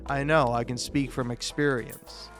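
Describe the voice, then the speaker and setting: A man doing casual, comedic, rambling gaming commentary, halting and stammering, self-deprecating and freely profane. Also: mid-range voice, fairly even intonation, a bit bright, soft background music. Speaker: video creator and host.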